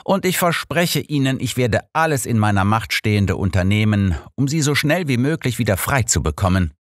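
A man's voice: mid-range voice, very animated, normal speed, no echo, clean studio sound, close to an everyday voice. A man voicing an old master detective in an audiobook, earnest, formal and troubled. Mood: insistent. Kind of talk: audiobook character reading.

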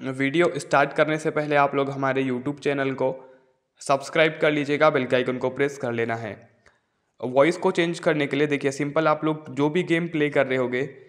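A man's voice: on the high side, steady tone, moderate pace. Man narrating a phone tutorial. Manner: instructional, repetitive step-by-step explaining. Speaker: tech support YouTuber.

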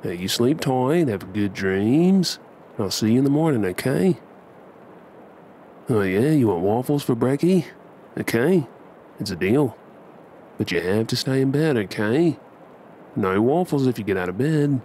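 Slow-talking Australian man, ASMR roleplay. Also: mid-range voice, expressive, warm tone, slight background noise. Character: affectionate, cheeky young husband and dad. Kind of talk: ASMR roleplay, playing a husband and dad at bedtime. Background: ambient sound effects.

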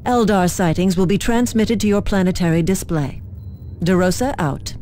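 A coolly official military liaison played by an actress, a woman delivering game briefings. Her voice is mellow and slightly low, with measured, formal phrasing. There is light noise behind it. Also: close to an everyday voice. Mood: formal, urgent. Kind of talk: scripted game mission briefing.

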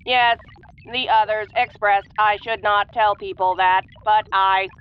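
A woman's voice: medium pitch, fairly even intonation, a little slow, lo-fi sound, music in the background, lightly acted. A woman playing a naive, literal-minded female AI with a formal, stilted, emotionless manner in an audio roleplay. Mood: flat, formal. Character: naive, literal-minded young female artificial intelligence on a date.

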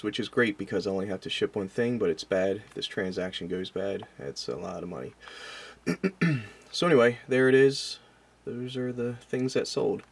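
A man's voice: fairly low pitch, gravelly, husky. A man in his forties giving a casual, rambling vlog update from his home office, often correcting himself mid-sentence. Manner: chatty, rambling, self-correcting, offhand. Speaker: collector and online reseller. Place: home office full of collectibles, indoors. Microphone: webcam at desk, seated.

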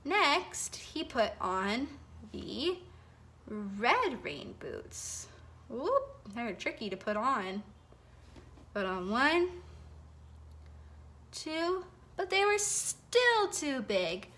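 A young woman telling a felt board story for children at home, expressive and sing-song, with gasps and whispers, recorded on a phone held over the board. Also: fairly high pitch, extremely slow, long pauses. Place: home living room, indoors. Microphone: phone camera held over the felt board.

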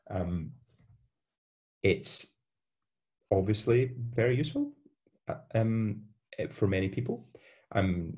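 A man's voice: lowish voice, darkish tone. A young man with a Scottish accent giving an online university lecture over a webcam, hesitant with many fillers and restarts. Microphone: webcam on a video call.

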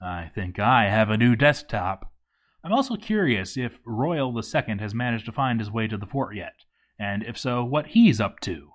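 A man giving an expressive scripted reading of a game story, with wry, deadpan asides. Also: on the low side, normal speed. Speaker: let's-read narrator.

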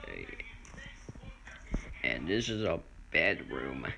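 The kind of man you'd hear on a noisy homemade phone video, slowly and hesitantly showing you room after room in a low voice. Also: wildly swooping pitch, gravelly, sharp tone. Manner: hesitant, halting, unsure. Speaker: vlogger giving a house tour. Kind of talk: casual improvised home tour narration. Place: indoors, moving through rooms of a house. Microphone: handheld phone or camera mic. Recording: noisy handheld home video, clicks.

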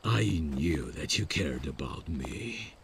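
A man voicing a lovesick, childlike, awkward slasher killer in a horror-parody ASMR roleplay, halting and shy in a gravelly, husky low voice. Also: animated, noisy background, full character voice. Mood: shy, smitten. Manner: halting, giggly, shy, slow. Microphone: close dry microphone.

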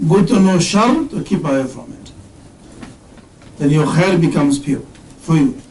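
A middle-aged male religious teacher with a fairly high voice, asking his students questions and unpacking each term with patience, now and then reciting Arabic verses. He is heard in a roomy classroom and sounds a little distant from the mic. A faint hum sits behind him. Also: lively intonation, darkish tone. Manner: questions the audience, explains terms slowly, recites Arabic verses. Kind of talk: interactive religious lesson. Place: indoor classroom with whiteboard.

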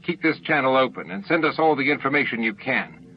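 A man's voice: mid-range voice, expressive, fairly quick, dull audio, slight background noise, pitched above the performer's own voice, natural voice. Man reading as a harried, clipped first minister. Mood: decisive. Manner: clipped, edgy, authoritative. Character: busy, harried, decisive male first minister.

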